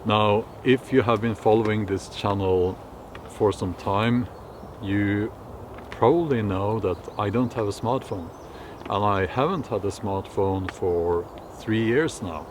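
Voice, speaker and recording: Norwegian man, middle-aged, lowish voice, slow reflective vlog delivery with fillers, recorded in the woods. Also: background noise. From Norway. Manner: unhurried, thoughtful, with many fillers and restarts. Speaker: vlogger. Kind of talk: casual talk to camera. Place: woods outdoors.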